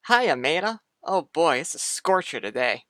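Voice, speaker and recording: Breezy, very animated friendly uncle. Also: male voice, a little high, moderate pace, background noise. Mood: jovial. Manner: folksy, casual, animated. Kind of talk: vintage radio soap opera acting.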